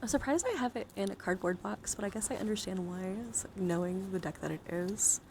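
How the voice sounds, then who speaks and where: A woman with a mid-pitched voice hosts a casual ASMR stream. She talks in a playful, coaxing way and often slips between soft talk and breathy whispering. Noticeable ambient noise sits behind her voice. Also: a little fast. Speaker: livestream host. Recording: clearly audible background noise.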